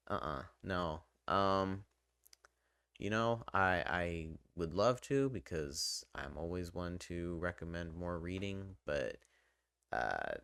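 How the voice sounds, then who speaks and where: A man with a low-pitched voice gives an informal, unscripted review. He talks conversationally and haltingly, often breaking off for asides. The sound is dry, with very little room in it. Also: creaky, fairly bright tone, smooth, no echo. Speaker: video game reviewer and channel host. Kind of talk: casual unscripted review talk to viewers.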